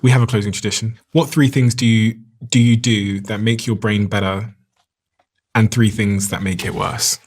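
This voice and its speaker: A man's voice: on the low side, steady tone, moderate pace. A man hosting a podcast, asking curious questions. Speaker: podcast host. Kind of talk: interview questions.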